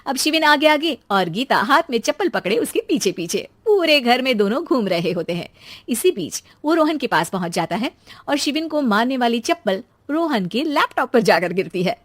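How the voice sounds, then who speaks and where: A woman narrates a scripted cartoon story. Her voice is high and her intonation moves around a great deal, in an expressive storytelling style. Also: normal speed, no echo.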